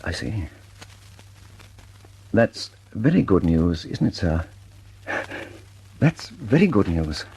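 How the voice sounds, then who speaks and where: An actor with an English accent playing a weary, mild, dutiful scientist in a radio drama, hesitant and politely understated. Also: male voice, low voice, very animated, slow, vocal fry, long pauses, heavily acted. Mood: restrained. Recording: noisy old radio recording with clicks.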